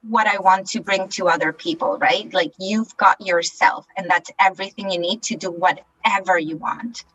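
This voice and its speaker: Bright-voiced upbeat woman coach. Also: mid-range voice, steady tone, moderate pace, hardly any pauses, muffled recording. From Chile. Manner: upbeat, confident storytelling. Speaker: life coach and Reiki master. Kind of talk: podcast interview guest.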